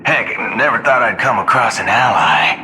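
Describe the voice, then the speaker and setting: A man's voice: mid-range voice, normal speed, pushed and bright, slight background noise. An actor voicing a laid-back, jokey male ranger in a game cutscene, speaking easygoing and often chuckling. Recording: game audio with reverb over music.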